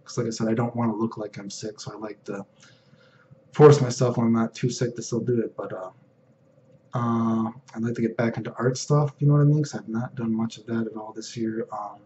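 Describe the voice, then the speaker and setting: A young man with a deep voice, rambling casually in a personal vlog, full of fillers and "you know what I mean.". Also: fairly even intonation, fairly quick, dark tone, dull audio, slight background noise. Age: young adult. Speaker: vlogger.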